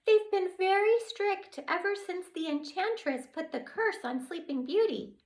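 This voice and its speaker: A woman reading aloud, voicing a tiny, tearful female fairy with a high-pitched voice that sobs between words. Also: a little slow. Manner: weepy, sobbing between words.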